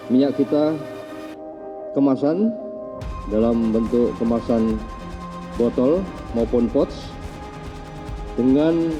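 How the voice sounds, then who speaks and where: A man giving a formal police press briefing in halting, short phrases. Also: mid-range voice. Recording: dull lo-fi sound, music behind.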